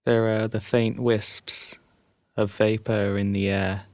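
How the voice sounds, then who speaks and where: A man guiding a sleep meditation as a narrated story, soothing and hushed, leaving long pauses between phrases. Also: on the low side, little pitch movement, no room sound.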